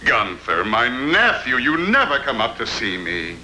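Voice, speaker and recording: An actor playing an older, theatrical uncle who loves opera and bursts into florid singing. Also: male voice, medium pitch, huge pitch swings, measured pace, bright, forceful tone, a little hiss or hum, exaggerated voice.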